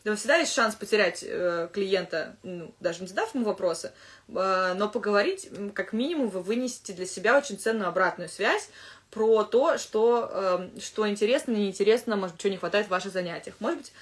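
A woman English teacher giving casual advice to fellow teachers, thinking aloud with lively asides. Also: medium pitch, fairly quick.

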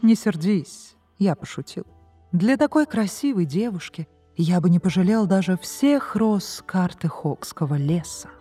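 A woman plays a young male elf knight from a storybook, proud and playfully challenging. The voice is mellow and husky, over music. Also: fairly low pitch, animated, measured pace. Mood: teasing, gallant. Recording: music behind the voice.

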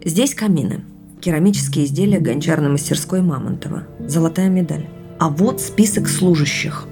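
A woman's voice: deep voice, normal speed, over music. An actress playing a keen, affectionate woman sleuthing out family history, speaking informally and intimately in a naturalistic audio drama. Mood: eager.

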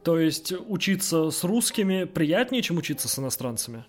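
A man's voice: on the high side, sing-song, fairly quick, faint music behind. A man working as an interviewer, putting questions in a conversational way.

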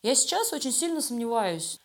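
A woman's voice: a little high, very animated, fairly quick. A woman being interviewed, talking casually and telling stories in informal, slangy speech. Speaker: animation studio creative director being interviewed. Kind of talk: casual conversational storytelling interview.

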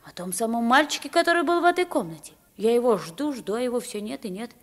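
Lady doing a poor street boy in an old radio drama, high voice, swoopy, naive and frank. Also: lively intonation, fast, lightly acted. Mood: curious, impatient. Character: naive, frank young pauper boy, easily alarmed. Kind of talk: Russian radio play acting. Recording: old 1950s radio recording.

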